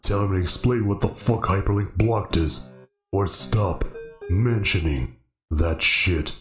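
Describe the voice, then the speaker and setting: A man playing a blunt, foul-mouthed, fed-up customer in a comedy fandub, with a deadpan irritated delivery. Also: sits low, measured pace, reverberant, faint music behind. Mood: fed up, scornful.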